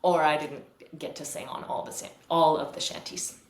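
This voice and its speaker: A woman with a low, fairly deep voice answers questions in a relaxed, easygoing way and laughs often. She speaks over a video call, and the room around her sounds echoey. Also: normal speed. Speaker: fiddle teacher and musician. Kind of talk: casual interview answers.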